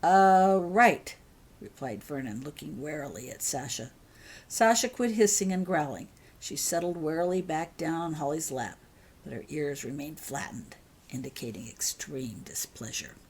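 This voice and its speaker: Female storyteller, slightly low voice, reading scripted fiction as an audiobook. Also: animated, normal speed, a little mellow, slight background noise. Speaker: author reading own story.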